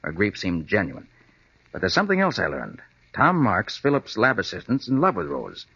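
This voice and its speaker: An actor playing a confident, crisp, authoritative American private detective in a 1940s-style radio drama. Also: male voice, fairly low pitch, wildly swooping pitch, moderate pace, pushed and bright, exaggerated voice. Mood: analytical. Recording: muffled old-time radio recording.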